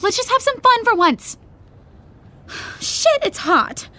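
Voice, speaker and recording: A breezy young female best friend with a high, bright voice. She is excitable and slangy, swooping up and down as she playfully gripes, in naturalistic audio drama style. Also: slow pace, exaggerated voice. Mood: excited, jittery. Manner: animated, slangy, playfully complaining.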